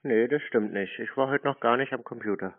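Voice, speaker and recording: A man with a deadpan, repetitive manner, chatting as if slow to understand while answering calls. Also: mid-range voice. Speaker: scam baiter answering calls. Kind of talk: deadpan phone prank chat. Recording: narrow-band phone-like sound.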